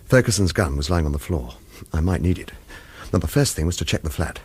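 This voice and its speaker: A man playing a wry, hard-boiled hero in a radio thriller, speaking in a terse, dry, husky way. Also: low voice, expressive, brisk, a little mellow, full character voice. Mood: wary, puzzled. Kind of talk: scripted first-person radio thriller narration and dialogue.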